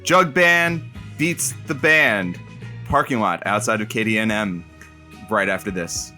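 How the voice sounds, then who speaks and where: A man's voice: fairly low pitch, measured pace, bright, forceful tone, soft background music. A man playing a wry radio co-host and announcer in a comedic audio drama, with dry humour and some hesitation. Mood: wry, announcing.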